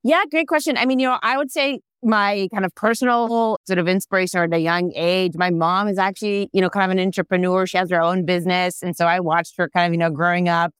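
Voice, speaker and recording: A woman's voice: on the low side, fairly even intonation, quick pace, hardly any pauses, clean tone, dry recording, studio quality. A woman in her thirties, born and raised in Israel, answering interview questions as a startup founder, thoughtful and full of "you know" fillers. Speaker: startup founder and CEO, guest.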